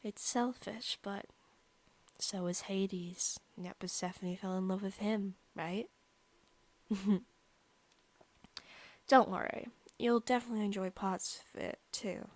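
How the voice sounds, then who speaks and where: A woman playing an obsessive, sadistic centuries-old female vampire in an ASMR roleplay, speaking softly and breathily with menacing, teasing turns into whispers. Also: medium pitch. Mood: menacing, lustful, then teasing, gloating. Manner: soft, menacing, teasing, often dropping into whispers.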